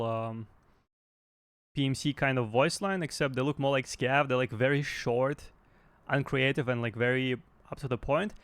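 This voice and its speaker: A man talking casually and off the cuff as a gaming news host, in a hesitant, halting way. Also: mid-range voice, clear, resonant tone, no echo. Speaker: YouTube game news host.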